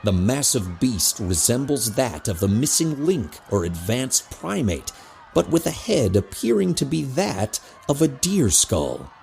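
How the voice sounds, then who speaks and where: A man's voice: medium pitch, sing-song, unhurried, creaky, background noise. A man delivering dramatic scripted narration as the narrator of an audio drama.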